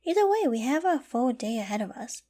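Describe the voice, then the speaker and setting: A doting young girlfriend, acted by a woman in a comforting ASMR roleplay. Her voice sits fairly high yet is very mellow and warm. She speaks tenderly and intimately, cooing with affection and gently teasing her partner. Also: sing-song, fairly quick. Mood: cozy, gentle.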